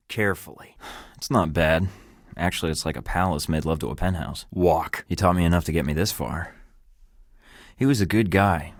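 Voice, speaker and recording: An actor playing a cocky, quick-witted young male spy with a dry, quippy delivery in a video game. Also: low voice, dry recording. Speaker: secret agent.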